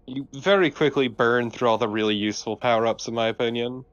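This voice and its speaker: A man's voice: mid-range voice, steady tone, fast, dry recording, muffled recording. A man chatting casually as a co-commentator over video game footage. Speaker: let's play co-commentator.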